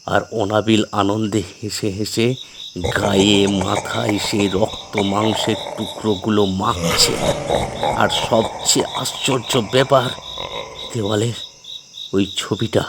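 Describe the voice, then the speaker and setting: A man's voice: lowish voice, noisy background. A man narrating a scripted horror story in a dramatic storytelling style.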